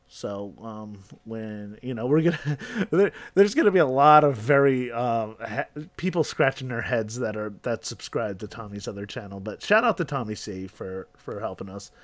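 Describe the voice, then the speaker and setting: Slow, rambling man hosting a chat show. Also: mid-range voice, no room sound. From New Jersey, USA. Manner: rambling with many fillers and restarts, laughs often. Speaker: podcast host. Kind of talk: casual chat.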